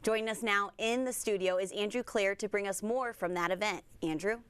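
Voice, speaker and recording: A woman's voice: mid-range voice, fairly quick, smooth. Woman co-anchoring TV sports news. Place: TV studio.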